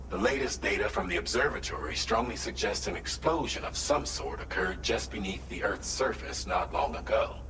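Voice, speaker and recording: A formal mission coordinator who lays out an urgent operation in careful, earnest sentences. His voice sits low and sounds set back from the mic. There is room echo and steady background noise in this game audio. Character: formal male mission coordinator giving briefings. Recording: roomy, distant, noisy game audio.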